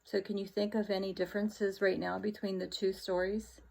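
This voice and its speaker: A woman's voice: mid-range voice, flat delivery, moderate pace, vocal fry, a little mellow, low-quality recording. A woman speaking as a teacher to children, explaining a story and posing questions in asides. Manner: explains and poses questions to young listeners. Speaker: teacher reading aloud to children. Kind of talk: read-aloud lesson with explanatory asides.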